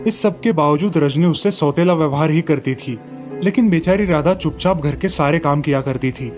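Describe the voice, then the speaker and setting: A man's voice: on the high side, moderate pace, darkish tone, faint music behind. A man storyteller reading scripted narration for a children's moral tale.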